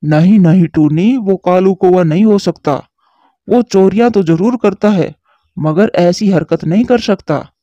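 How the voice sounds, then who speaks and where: A brave male pigeon from a cartoon, played by a man, earnest and determined in a high, mellow voice. The recording is dull and lo-fi. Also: measured pace, dry recording.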